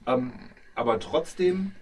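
Brief: German man, a doctor, halting speech, distant mic with light hiss. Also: fairly high pitch, animated, a little mellow. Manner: hesitant, many fillers. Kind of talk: casual group discussion. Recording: distant mic with faint noise.

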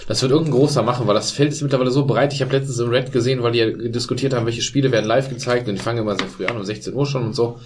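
A man's voice: mid-range voice, steady tone, very fast, few pauses, noisy background. A man chatting casually with friends about football, rambling and restarting his sentences, often tagging them with a questioning "ne?".

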